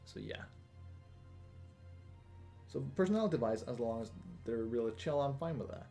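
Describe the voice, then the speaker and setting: A young man hosting a casual Q&A video, talking in a flat, monotone way with lots of fillers and restarts. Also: medium pitch, moderate pace, soft background music. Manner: monotone, rambling with many fillers. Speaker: gaming channel host. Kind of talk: casual Q&A monologue.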